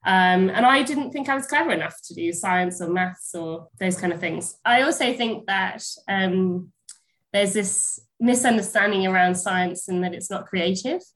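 A woman's voice: mid-range voice, moderate pace, a bit bright, rough recording. A woman, sounding a bit snuffly from a cold, answering interview questions in a casual, hesitant way with many ums and restarts. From England, near Bristol. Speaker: guest, pasture science lecturer.

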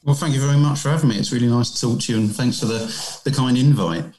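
A man's voice: medium pitch, fast, darkish tone, rough recording. A British man giving an interview as a painter, speaking enthusiastically and rambling with repeated words. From Britain. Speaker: guest, painter. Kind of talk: interview answers.

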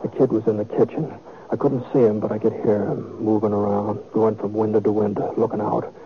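A middle-aged American man playing a steady, laconic cowboy gunman in a 1950s radio western, speaking in a measured, folksy way. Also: fairly low pitch, breathy edge. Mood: somber, reflective. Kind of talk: 1950s radio western acting and first-person narration. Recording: tinny, noisy old radio recording.

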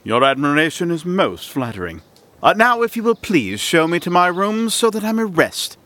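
A man's voice: high-pitched, big pitch swings, normal speed, fairly bright tone. An actor playing a vain, condescending celebrity witch hunter in a comic audio drama, with a pompous, grand manner. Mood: haughty. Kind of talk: audio theater comedy acting.

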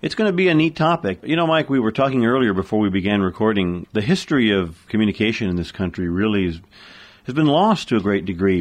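A middle-aged or older man co-hosting a radio talk show, explaining things in a casual, plain-spoken, measured way. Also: fairly low pitch.

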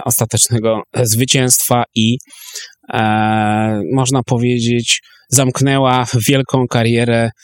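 A man speaking as a historian guest, explaining events unscripted and very haltingly, with frequent long hesitations. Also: mid-range voice, clean tone, no room sound.

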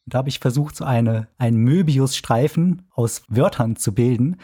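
A man with a soft, mellow voice and a husky edge. He talks casually as a podcast co-host, with a dry, self-deprecating wit. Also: mid-range voice, normal speed.

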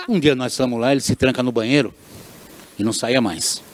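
A man's voice: medium pitch, wildly swooping pitch, brisk. A man spins anecdotes in a loose, easygoing way, laughing often and repeating himself for emphasis. He comes across as a radio regular chatting with friends. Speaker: radio show regular, guest. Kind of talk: casual conversation, storytelling.

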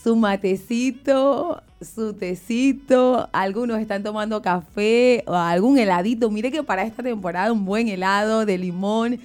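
Mellow woman chatting on radio. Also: medium pitch, unhurried. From Venezuela. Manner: chatty, descriptive, addresses the audience. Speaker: radio co-host. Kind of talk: casual live radio chat.

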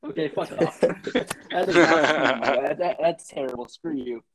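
Fast-talking young man with a stutter. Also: fairly high pitch, crowd noise. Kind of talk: casual chat among friends.

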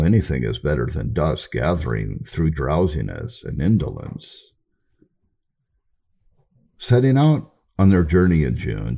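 Very deep, gravelly man reading history aloud. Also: warm tone. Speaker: narrator reading church history. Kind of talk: scripted audiobook narration.

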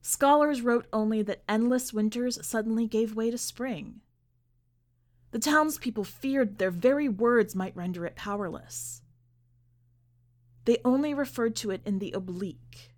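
A woman narrating a scripted literary short story in a measured, deliberate way, with dramatic pauses. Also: fairly high pitch, a little mellow. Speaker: podcast host and story reader.

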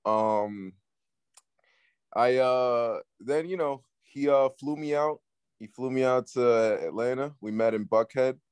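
A man from Peru who grew up partly in New York, giving casual interview answers as a music artist, haltingly and with repeated words. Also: mid-range voice, a little mellow, clean tone, no room sound. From Peru, moved to the US at six, based in New York City. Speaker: guest, up-and-coming Latin music artist.

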